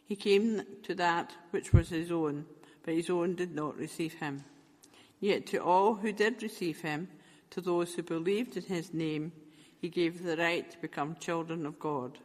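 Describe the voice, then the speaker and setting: A man reading scripture aloud in a measured, careful way. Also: on the high side, steady tone, long reverb tail. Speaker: scripture reader.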